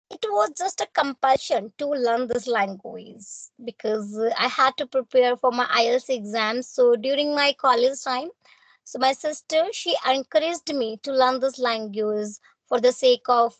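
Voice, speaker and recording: A woman's voice: high voice, normal speed, dry recording, rough recording. A young woman with a North Indian accent and Hindi as her first language, speaking English in a formal, courteous, earnest way in a practice conversation. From Punjab, India.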